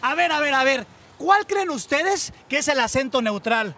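A man's voice: extremely high pitch, lively intonation, moderate pace, sharp tone. A man reporting a lighthearted TV piece, teasing and joking with the people he interviews. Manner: playful, teasing questions. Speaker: street reporter.

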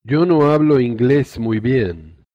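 A man's voice: mid-range voice, expressive, dry recording, dull audio. A man modeling short phrases for a language course, speaking each one carefully and then repeating it. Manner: careful, slow model sentences, each said twice.

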